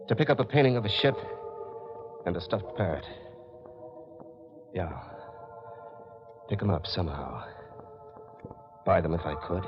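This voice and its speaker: An actor in a 1940s radio mystery playing an uneasy, hard-boiled drifter caught up in crime, on a dull old recording. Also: male voice, deep voice. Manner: terse, brooding, hard-boiled. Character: uneasy hard-boiled male drifter, reluctant accomplice and narrator. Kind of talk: 1940s radio acting, first-person narration.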